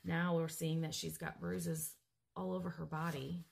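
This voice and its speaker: A mother, hoarse and raspy from a cold, earnestly reading out a report and stumbling over medical terms. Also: deep voice, little pitch movement, a little slow, slight background noise. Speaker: grieving mother seeking justice. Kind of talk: direct-to-camera update, reading from a report.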